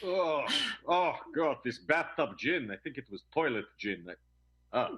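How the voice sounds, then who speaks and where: An actor playing a courtly, drunken 1920s husband in an improvised radio soap, speaking in formal, deliberate, dramatic phrases. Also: male voice, mid-range voice, lively intonation, dull audio, lightly acted. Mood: drunken, wretched.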